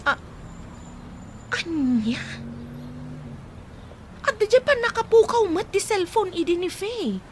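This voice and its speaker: A woman's voice: very high voice, sing-song, slow, pauses often, a little hiss or hum, full character voice. An actress playing a chatty, pushy young village woman with an Ilocano accent in a Filipino radio comedy-drama. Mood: surprised, reproachful.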